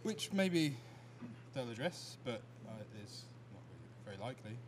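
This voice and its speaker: A man appearing as a guest on a live show, chatting casually and joking with a dry, deadpan delivery. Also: mid-range voice, moderate pace, a little hiss or hum.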